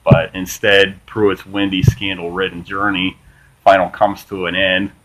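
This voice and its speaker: A man hosting a small political commentary channel, reading from an article and chatting casually, with a flat monotone delivery and lots of ums and uhs. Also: sits low, measured pace, poor audio. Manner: swears casually, says "man" often.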